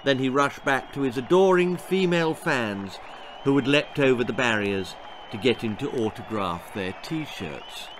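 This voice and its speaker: Older english guy reading a storybook, expressive and unhurried, bit of background noise. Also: mid-range voice, some chatter behind. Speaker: author reading own children's stories. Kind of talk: dramatic storybook narration. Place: small book-lined room indoors.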